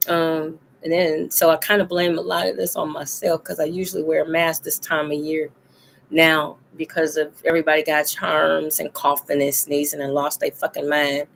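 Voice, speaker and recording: A woman chatting casually as a co-host, her voice made deep and hoarse by a cold, talking loosely with many pauses and laughs. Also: little pitch movement, fairly bright tone, a little hiss or hum. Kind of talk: casual chat.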